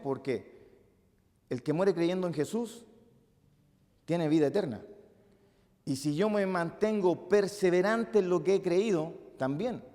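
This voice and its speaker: A male pastor with a Chilean accent preaching a sermon, moving between loud emphatic peaks and soft, musing asides. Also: on the high side. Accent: Chilean Spanish. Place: church hall. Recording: long reverb of a church hall.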